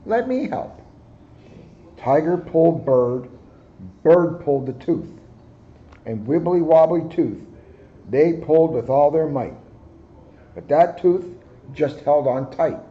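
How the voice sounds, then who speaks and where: A man reading a children's picture book aloud in a deliberate, expressive storyteller manner, with slightly muffled sound. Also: a little high. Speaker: guest story reader, police officer.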